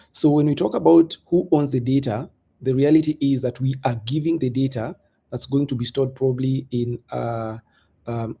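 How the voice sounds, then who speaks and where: Gravelly, dark-voiced man on a panel. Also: medium pitch. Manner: measured, reflective speaker with rhetorical questions. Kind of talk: panel discussion.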